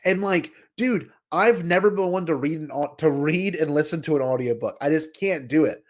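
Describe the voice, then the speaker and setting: A man's voice: a little high, moderate pace. A man co-hosting a podcast in casual chat, talking in an effusive, emphatic way. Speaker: podcast co-host.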